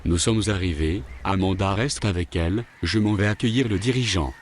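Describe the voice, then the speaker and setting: A man playing a stern, matter-of-fact kidnapper who translates for his boss in an audio drama, measured and firm. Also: sits low, slight background noise, lightly acted.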